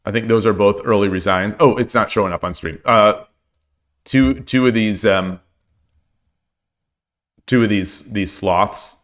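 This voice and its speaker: A man with a hoarse voice streaming shogi, talking casually and thinking aloud as he looks over his games, often repeating his phrases. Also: fairly low pitch, unhurried, pauses often, fairly bright tone. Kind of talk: casual game commentary.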